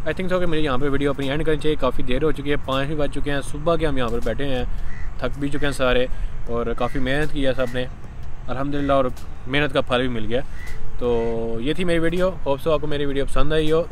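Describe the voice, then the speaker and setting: A man's voice: mid-range voice, steady tone, quick pace, a little hiss or hum. Young Pakistani man vlogging outdoors on a phone. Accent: Pakistani Urdu. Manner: chatty, loose, sprinkles English words and pious phrases. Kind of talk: casual outdoor travel vlog. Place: outdoors on a farm by a fishpond. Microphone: phone camera at arm's length.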